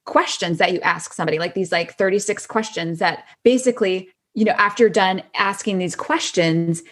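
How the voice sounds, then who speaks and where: A woman's voice: lowish voice, fairly quick, fairly bright tone. A woman chatting casually as a podcast guest, enthusiastic and agreeable in a conversational way. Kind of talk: casual chat.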